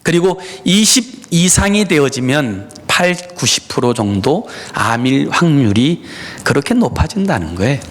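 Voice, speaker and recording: Korean pastor with lively pitch. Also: male voice, a little slow. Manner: frequent "에" fillers, personal and earnest, addresses congregation. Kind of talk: sermon. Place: church sanctuary.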